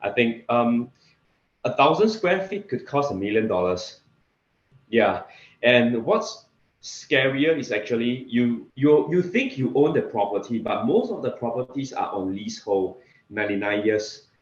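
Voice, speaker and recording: A man from Singapore with a Singaporean accent, talking casually as an interview guest in a halting way, often repeating words. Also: medium pitch, lively intonation. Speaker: interview guest, real estate investor. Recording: echoey room, slightly dull sound.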